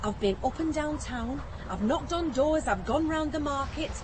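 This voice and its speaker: Plucky Northern English woman, brisk, bossy, cheery, high animated voice, noisy outdoor radio play. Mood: rousing. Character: brisk, rousing, determined northern woman rallying her friends. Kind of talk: naturalistic radio play acting. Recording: outdoor scene with clear traffic ambience noise.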